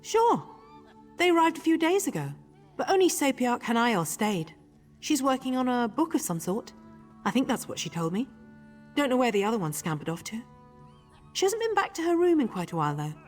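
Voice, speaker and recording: An actress playing a helpful, chatty, slightly scatterbrained guesthouse keeper at an academy in a video game. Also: female voice, on the high side, sing-song, normal speed, a little mellow. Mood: chatty, vague. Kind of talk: video game character acting. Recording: long hall reverb, light music.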